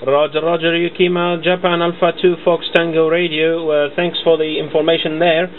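Flat-toned male ham operator, narrow-band sound. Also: on the high side, normal speed. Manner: procedural, spells out callsigns. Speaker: amateur radio operator. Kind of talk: ham radio contact talk with phonetic spelling. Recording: narrow-band radio room recording with static noise.

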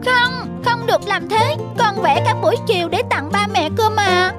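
A woman's voice: extremely high pitch, moderate pace, loud music behind the voice. A woman voicing a small, stubborn, emotional young boy in a cartoon, with childlike pleading. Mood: earnest, upset. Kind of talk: cartoon voice acting.

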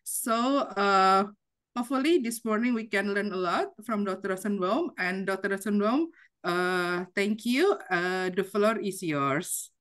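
A woman's voice: medium pitch, expressive, a little slow, fairly bright tone. A middle-aged woman whose first language is Indonesian, joining by video call to moderate a research talk and reading out the speaker's biography, hesitant, with many fillers and restarts. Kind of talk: formal introduction, reading a bio. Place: home office over video call.

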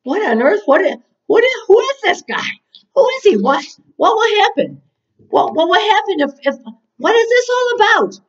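A woman voicing a bold, indignant young shepherd boy, eager and insistent, stammering with excitement. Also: sits high, measured pace, voice pushed up, exaggerated voice. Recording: dull, distant-mic recording.

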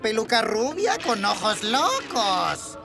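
Una mujer interpreta a un personaje secundario de dibujos animados, pausado y sin prisa. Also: female voice, on the high side, big pitch swings, gravelly, exaggerated voice. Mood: slow, vague. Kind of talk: cartoon voice acting. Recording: loud music behind the voice.

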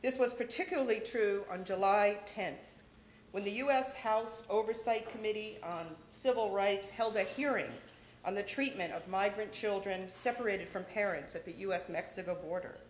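A middle-aged woman at a podium giving a measured, formal welcome speech read from notes. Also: medium pitch, slight background noise. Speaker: event organizer introducing speakers. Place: large lecture hall, at a podium. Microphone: podium microphone. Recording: echoey hall.

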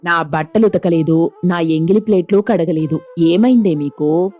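A woman's voice: on the low side, moderate pace, mellow, close to an everyday voice. Lady doing a fed up middle aged mother-in-law in a cartoon, scolding and grumbling, music behind. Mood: angry. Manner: scolding, complaining. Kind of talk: dramatized moral story cartoon dialogue.

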